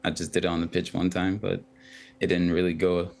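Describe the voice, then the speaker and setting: A young man, a professional footballer and a Twi speaker, giving halting, soft-spoken interview answers full of ums. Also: low voice, monotone, creaky. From United States.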